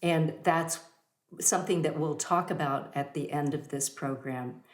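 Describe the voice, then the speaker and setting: Host: woman, very low voice, slow and halting with fillers, echoey room. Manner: warm introductions with many fillers. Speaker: host of a yoga studio talk. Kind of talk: hosting an online talk.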